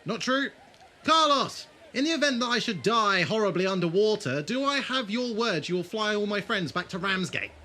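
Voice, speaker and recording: A man's voice: high-pitched, animated, normal speed, pushed and bright. A man playing a defiant, sarcastic alien leader who takes charge in a fan audio drama. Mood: brisk, commanding. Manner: sarcastic, commanding.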